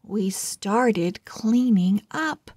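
A woman reading a children's story aloud with deliberate, dramatic phrasing. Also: mid-range voice, animated, darkish tone, slightly rough, dry recording, studio quality. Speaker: children's book read-aloud host. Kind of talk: expressive read-aloud narration for young children.

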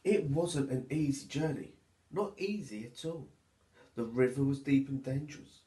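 A man, a teacher reading a storybook to children in an expressive, deliberate way. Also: mid-range voice. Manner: expressive, deliberate, addressing young listeners. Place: small plain room at home, indoors. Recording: echoey small room.

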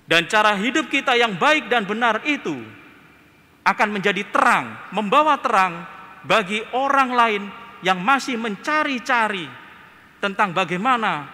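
A young man with an Indonesian accent, preaching a homily from a church lectern mic, slow and emphatic with rising and falling phrases. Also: high voice, sharp tone. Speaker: priest. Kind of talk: homily at Mass. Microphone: gooseneck lectern mic. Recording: reverberant church.

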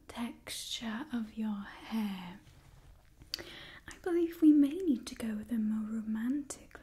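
Woman playing a slow, whispering, refined vampire lady. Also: medium pitch, natural voice. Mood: appraising, refined. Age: young adult. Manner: slow, genteel, gently imperious, whispering. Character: refined, doting female vampire clan leader. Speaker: ASMR roleplay performer. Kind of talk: whispered ASMR roleplay. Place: small indoor room with wooden backdrop.

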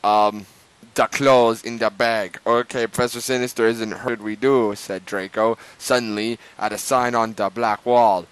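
A man's voice: on the low side, steady tone, unhurried, clean tone, low-quality recording. A man hosting a dramatic reading of fan fiction, theatrical and stumbling over words. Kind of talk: mock-dramatic reading aloud.

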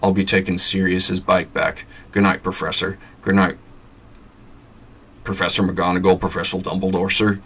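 A man voicing a big, shaggy, soft-hearted male half-giant groundskeeper with a gruff, blubbering voice. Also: sits low, little pitch movement, moderate pace, distant mic. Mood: muffled.

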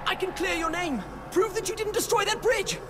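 A man's voice: very high voice, normal speed, music in the background. An actor playing a jittery young male hacker who stammers anxiously. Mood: pleading.

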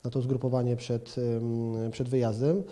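A man's voice: medium pitch, flat delivery, unhurried, mellow. A man in his forties answering questions as a football pundit in a TV interview, hesitant with many fillers and restarts, recorded indoors on a handheld reporter's mic. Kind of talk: TV sports interview. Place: indoor office room with plant. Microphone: handheld reporter mic held toward him.

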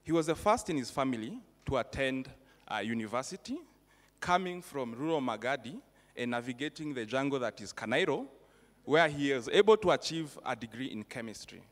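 A young man from Rwanda presenting an award at an event, talking in a relaxed, self-joking way with some fillers, into a handheld microphone on a stage. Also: medium pitch, a little fast. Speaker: foundation representative presenting an award. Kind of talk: informal speech at an awards event. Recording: reverberant event hall.

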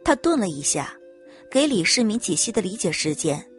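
A woman's voice: medium pitch, very animated, moderate pace. A woman reading a scripted story as a dramatic audiobook narrator. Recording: music bed under narration.

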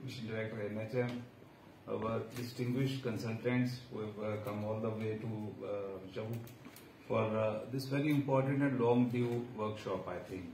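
A man's voice: fairly low pitch, darkish tone, slight background noise. A man with an Indian English accent giving a formal address, hesitant with many fillers. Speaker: health official. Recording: echoey hall from far off.

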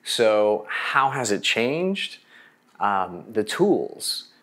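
A man's voice: lowish voice, big pitch swings, drawn-out words, a bit bright. A middle-aged man from Oklahoma with an American accent, telling his story as an artist in an interview. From Oklahoma, United States. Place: bright room indoors.

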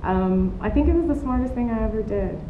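A woman's voice: lively intonation, a little fast. A woman in her thirties from the United States, talking casually and reflectively in an interview, with many fillers and restarts, recorded outdoors on a patio. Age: 30s. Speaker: artist being interviewed. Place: outdoor patio of an art studio. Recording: roomy, dull outdoor recording with rumble.

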